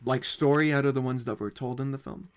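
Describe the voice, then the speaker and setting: A man hosting a casual film discussion among friends, talking in an animated, informal way. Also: mid-range voice, fairly quick, clean tone. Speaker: podcast host.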